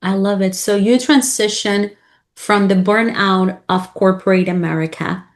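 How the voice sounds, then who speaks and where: A woman's voice: medium pitch, fairly even intonation, unhurried. Lady hosting an interview, super enthusiastic, lots of "i love that". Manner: enthusiastic, sums up the guest's answers. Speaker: podcast host, interviewer. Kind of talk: interview questions.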